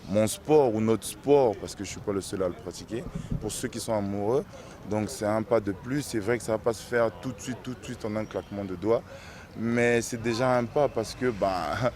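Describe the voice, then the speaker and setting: Low-voiced Congolese athlete interviewed outdoors. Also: male voice, darkish tone. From Brazzaville, Congo. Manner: reflective, earnest, some restarts. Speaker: professional basketball player. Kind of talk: interview answers.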